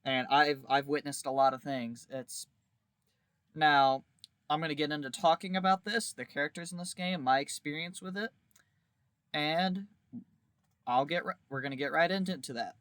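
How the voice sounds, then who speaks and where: A man's voice: a little high, moderate pace, pauses often, fairly bright tone, clear, resonant tone, no echo. A man giving casual video commentary in a wry, informal way, leaning on emphatic exaggeration. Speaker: video creator reviewing a visual novel.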